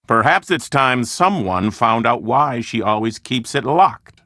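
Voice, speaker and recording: Scheming movie producer for a video game: self-important, formal, emphatic, with a bright, forceful voice and sweeping intonation. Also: male voice, medium pitch, expressive, measured pace, dry recording, studio quality. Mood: conspiratorial, insistent. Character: scheming, self-important male film producer desperate for publicity.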